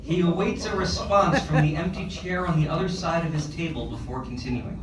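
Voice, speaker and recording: Higher-voiced man narrating, echoey room. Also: fairly quick. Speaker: stage-direction narrator. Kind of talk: scripted narration at a live table read. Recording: echoey room, distant mic, clear background noise.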